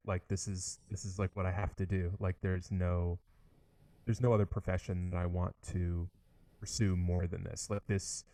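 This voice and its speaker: A young man with a low voice, speaking in a fairly flat, even tone. He is an orchestral trombonist chatting eagerly through an interview, full of likes and restarts. The recording is dry and close. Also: no room sound. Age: young adult. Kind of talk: casual interview conversation.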